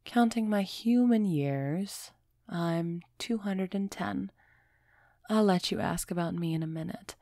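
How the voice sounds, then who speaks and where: A woman playing a refined, centuries-old female vampire in an intimate audio roleplay, gently imperious and softly teasing as she speaks straight to the listener. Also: on the low side, no room sound, clean studio sound. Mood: playful. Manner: slow, measured, softly teasing, addressing listener directly.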